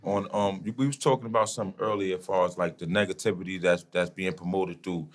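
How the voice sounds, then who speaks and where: A man hosting a casual podcast conversation, halting and full of fillers as he raises a topic. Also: low voice, fairly even intonation.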